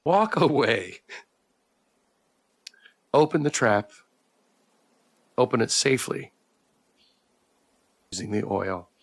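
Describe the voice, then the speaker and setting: A man's voice: mid-range voice, animated, slow, long pauses, no room sound. A man streaming a visual novel, reading the narration aloud and adding casual commentary of his own. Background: background music.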